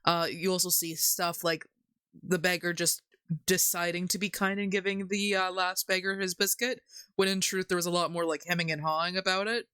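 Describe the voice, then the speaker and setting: A woman's voice: lowish voice, level tone, normal speed, pushed and bright, clear, resonant tone, dry recording. A woman hosting a livestream, chatting casually and jokily about folk tales in a rambling way. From Canada. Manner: jokey, giggly, rambling. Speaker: livestream host.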